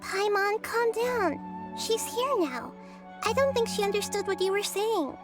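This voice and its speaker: A young female guide in a video game, composed and kindly. Her voice is very high and girlish, with clear music playing behind it. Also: expressive, a little slow. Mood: calming, inquiring. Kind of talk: animated video game voice acting. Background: background music.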